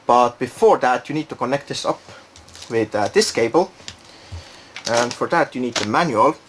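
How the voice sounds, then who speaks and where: A man's voice: medium pitch, expressive, normal speed, a little hiss or hum. A man giving a casual, unscripted product demonstration, thinking aloud and hesitating as he goes. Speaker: product reviewer.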